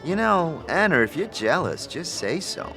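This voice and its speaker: Man doing a cheeky, creaky talking skull. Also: a little high, big pitch swings, moderate pace, bright, forceful tone, faint music behind, pitched above the performer's own voice, exaggerated voice. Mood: teasing. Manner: teasing, impudent. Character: cheeky leering talking skull, male, wisecracking.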